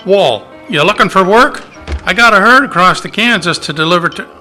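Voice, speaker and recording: A man's voice: high voice, animated, brisk, bright, forceful tone, faint music behind, heavily acted. A man playing a harried, pushy cattle-drive cowboy with folksy Old West speech in an amateur radio play. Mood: worried, frustrated.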